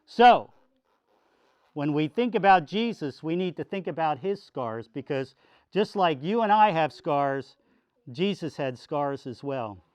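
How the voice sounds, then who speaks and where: A man's voice: fairly high pitch, lively intonation, measured pace, a little mellow, clear, resonant tone, dry recording. A man speaking as a pastor to children, explaining simply in a folksy way with repetition. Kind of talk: children's sermon with a puppet. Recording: dull lo-fi sound.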